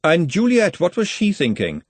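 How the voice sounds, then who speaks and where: A man plays a brisk young working woman in a storybook reading. Her voice is raised in pitch, pointed and snappy, with a defiant spark. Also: huge pitch swings, no room sound, heavily acted. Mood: snappish.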